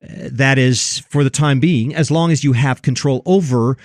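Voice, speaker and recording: A man's voice: medium pitch, moderate pace, no room sound, studio quality. A man hosting a religious discussion, giving opinionated commentary in an emphatic, preacherly way that leans on key words.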